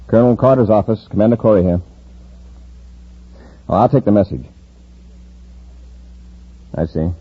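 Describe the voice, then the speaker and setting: An actor playing a decisive, authoritative space patrol commander in an old-time radio serial, firm and commanding. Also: male voice, on the low side, normal speed, pauses often, husky. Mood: brisk, decisive. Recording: muffled vintage radio recording.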